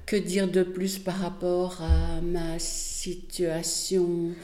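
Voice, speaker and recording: A woman, giving a measured personal testimony in an interview, pausing often with fillers. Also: fairly low pitch, flat delivery, mellow, reverberant, faint music behind. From Belgium, Wallonia. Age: 60s. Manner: measured, hesitant with frequent fillers. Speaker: interviewee, artist.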